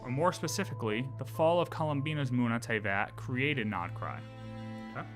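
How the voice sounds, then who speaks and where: A man with a bright, forceful voice talks like a casual game streamer walking chat through lore. He is animated and informal, repeating himself and tossing in quick check-ins as he explains. His pitch sits in an ordinary male range. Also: faint music behind. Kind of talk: casual livestream lore explanation, chatting with viewers.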